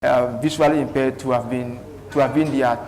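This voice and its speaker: A man's voice: medium pitch, steady tone, brisk, darkish tone. A man speaking earnestly as a talk show guest, telling his life story with restarts. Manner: earnest, with restarts and thanks to God's grace. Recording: reverberant studio, faint background noise.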